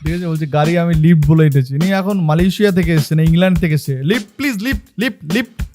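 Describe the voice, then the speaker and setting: A man's voice: a little high, fast, music in the background. A man giving casual, exclamatory reaction commentary as a channel host, often repeating his words.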